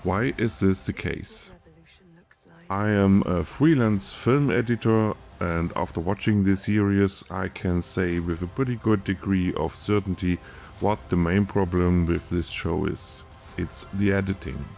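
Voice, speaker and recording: Deep gravelly German-accented man narrating. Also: a little mellow, faint music behind. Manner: careful, measured, read from a script. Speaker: film editor and video essayist. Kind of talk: scripted video essay narration.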